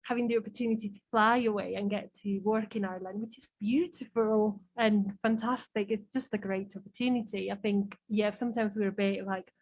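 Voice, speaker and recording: A woman with a Spanish accent speaks in a conversational seminar style at an average pitch. She comes across as an informal, encouraging teacher in discussion. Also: steady tone, fairly quick, few pauses, darkish tone, poor audio. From Spain. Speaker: seminar speaker, English for academic purposes teacher.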